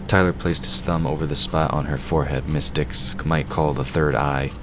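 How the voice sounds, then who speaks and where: Super deep voiced guy narrating a fantasy audio drama story, scripted read, noisy recording. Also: steady tone, normal speed.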